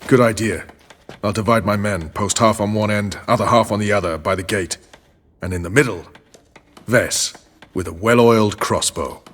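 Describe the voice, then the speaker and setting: An actor playing a blunt, patriotic soldier captain in a game, speaking in a brusque, decisive way. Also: male voice, lowish voice, normal speed, fairly bright tone, a little hiss or hum, lightly acted. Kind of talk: cinematic game voice acting.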